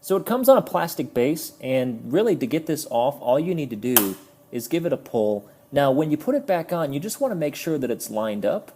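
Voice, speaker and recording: A man's voice: fairly high pitch, very animated, normal speed, dark tone, slight background noise. A man giving a casual, explanatory toy review, friendly in tone and sometimes stumbling over his words.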